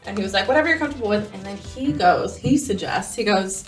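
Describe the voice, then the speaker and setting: A young woman co-hosting a podcast in casual, slangy chat, telling stories in an animated, bantering way. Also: medium pitch, fast talker. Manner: slangy, laughs easily, banters. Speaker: podcast co-host. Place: small home garage studio. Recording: roomy echo, faint music.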